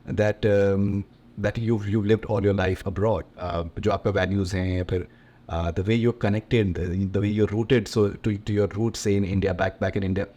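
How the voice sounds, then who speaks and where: A man with a low voice asks long, rambling questions at a brisk clip. He switches between English and Hindi partway through his sentences. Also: fairly even intonation, mellow, lo-fi sound. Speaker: interviewer, host. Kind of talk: interview questions.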